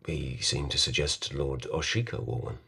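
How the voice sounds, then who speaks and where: A man acting an earnest, suspicious palace lieutenant in a radio drama, halting and deferential in speech. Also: rumbling low, steady tone, heavy background noise. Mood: hesitant, suspicious. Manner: hesitant, throat-clearing, deferential.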